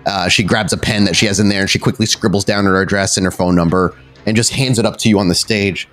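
A man's voice: sits low, steady tone, fast, a bit bright. A man narrating a tabletop horror game as storyteller, in a casual, conversational style. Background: faint music.

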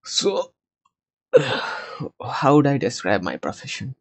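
A man in his twenties hosting a casual conversation podcast over a video call, talking from a small room and often pausing to find his words. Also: medium pitch, sing-song, noisy background. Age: 20s. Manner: hesitant, searching for words. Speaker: podcast host. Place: small home room, indoors. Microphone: headset.

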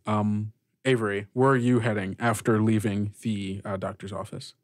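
A man narrating a tabletop game as game master, improvising phrase by phrase in a halting way. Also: fairly low pitch, flat delivery, no echo, clean studio sound.

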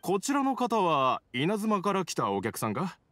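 An actor playing a friendly young market shopkeeper in an anime-style game, giving an eager sales patter. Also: male voice, sits high, huge pitch swings, moderate pace, a bit bright, exaggerated voice. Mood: welcoming, selling.

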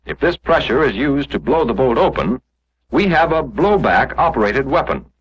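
Male narrator, American, 1940s instructional style: measured explanation, animated intonation, slightly husky tone, muffled vintage film audio. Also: medium pitch.

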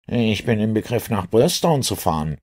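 A matter-of-fact, sceptical police inspector in an audiobook, played by a male reader. The voice is lifted above the narrator's and swings in pitch, with an insistent, questioning manner. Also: sing-song, moderate pace, a little mellow. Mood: puzzled, probing.

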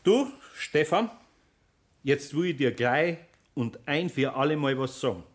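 An actor playing a patient but firm older master carpenter with a Bavarian accent, speaking in a homely, deliberate way in a 1960s radio play. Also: male voice, fairly high pitch, animated. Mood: stern, firm.

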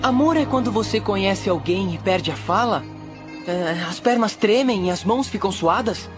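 A woman's voice: mid-range voice, expressive, moderate pace, music in the background, lightly acted. A woman voicing a kind young male cricket hero in a cartoon dub, earnest and lovesick, with a Brazilian accent. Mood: lovesick, sad. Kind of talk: animated cartoon dub acting.

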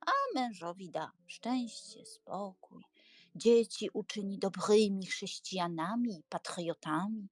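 A woman's voice: mid-range voice, takes it slowly, darkish tone. A woman playing an earnest, prim old spinster aunt in a dramatised audiobook, with an emphatic, moralising, fussy manner. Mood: earnest, coaxing. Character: elderly spinster aunt, earnest, prim, easily scandalised matchmaker.

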